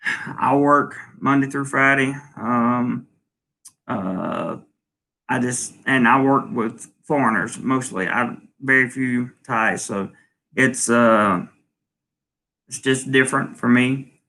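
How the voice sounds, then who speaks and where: Male stream host, bright and forceful, loose and rambling as he answers comments. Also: mid-range voice, takes it slowly, vocal fry. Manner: rambling, lots of ums and restarts. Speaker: channel host. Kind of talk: casual livestream, reading viewer comments. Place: home in Thailand.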